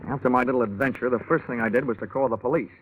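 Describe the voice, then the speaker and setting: A man's voice: mid-range voice, rapid-fire, natural voice. An American man playing a brash, wisecracking hard-boiled investigator in a 1940s radio drama, with a wry, slangy delivery. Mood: gritty, narrating. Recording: tinny, noisy 1940s radio recording.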